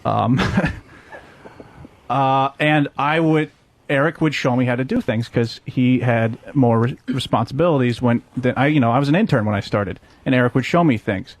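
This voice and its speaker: A man who works on a radio show, talking casually and haltingly about work. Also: medium pitch. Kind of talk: casual workplace talk.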